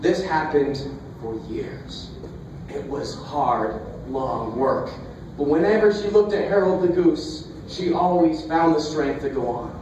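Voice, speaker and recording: A man telling a comic fairy tale live at a festival, in a playful, theatrical way with deliberate pauses. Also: on the high side, animated, lo-fi sound. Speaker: storyteller. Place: storytelling festival, live performance. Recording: echoey, distant, noisy live festival recording.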